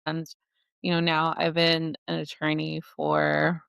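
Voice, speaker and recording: A woman in her forties from Los Angeles talks as an immigration attorney guest on a podcast. She explains things in an earnest, practical way, full of "you know" and "like." Her voice has a rough, vocal-fry texture over a smooth, resonant tone, recorded close and dry. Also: sits low, completely flat delivery, takes it slowly, a bit bright, no echo, highs cut off. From Los Angeles, United States. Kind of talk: casual podcast interview.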